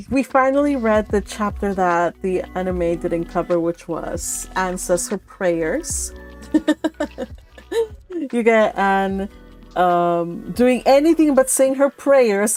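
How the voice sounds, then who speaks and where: A woman's voice: mid-range voice, darkish tone. A young woman from Mexico hosting a book club, chatty and laughing often, with lots of fillers. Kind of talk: casual chat and reading aloud.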